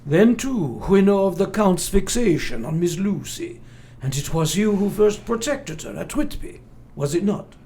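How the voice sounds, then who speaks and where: A creaky-voiced, solemn vampire hunter. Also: male voice, sits high, very animated, unhurried, few pauses, mellow, slight background noise, exaggerated voice. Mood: protective, earnest. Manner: formal old-fashioned phrasing with foreign-sounding grammar. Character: grave older male vampire-hunting scholar, protective and solemn. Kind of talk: radio play acting.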